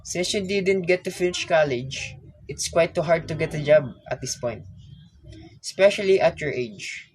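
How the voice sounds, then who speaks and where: A man's voice: a little high, normal speed, slightly rough, poor audio. A man playing a self-assured boss and devoted lover in an amateur radio play. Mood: businesslike. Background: music.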